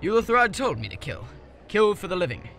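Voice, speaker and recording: A man as a bright, bloodthirsty young athlete. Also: high-pitched, big pitch swings, fairly quick, vocal fry, slight background noise, exaggerated voice. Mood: zealous, menacing. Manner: intense, theatrical, menacingly cheerful. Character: gung-ho bloodthirsty zealous young volleyball player. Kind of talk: cartoon parody acting.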